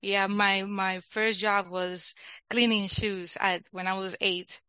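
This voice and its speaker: A woman's voice: mid-range voice, little pitch movement, slow pace, bright, forceful tone, smooth, no room sound, lo-fi sound. A young woman with a Dominican accent, a product manager chatting as an interview guest and laughing often. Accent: Dominican Spanish. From Dominican Republic. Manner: laughs often, talkative, restarts phrases. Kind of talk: casual interview chat.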